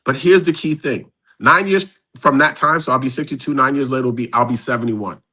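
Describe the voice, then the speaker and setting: A man's voice: medium pitch, brisk, pushed and bright, rough recording. A man in his fifties, a guest on a live stream, casually walking through numbers step by step. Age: 50s.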